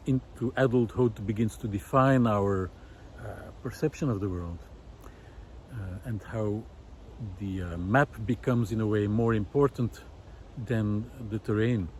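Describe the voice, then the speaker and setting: A man's voice: fairly low pitch, a little mellow, a little hiss or hum. A man giving an unscripted, reflective monologue, thoughtful and hesitant as he searches for words. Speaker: visual artist turned ecology project host.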